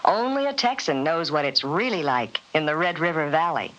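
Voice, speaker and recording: A woman's voice: sits low, expressive, moderate pace, fairly bright tone. A woman reading a scripted promotional narration in a vintage radio spot. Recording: dull vintage radio recording.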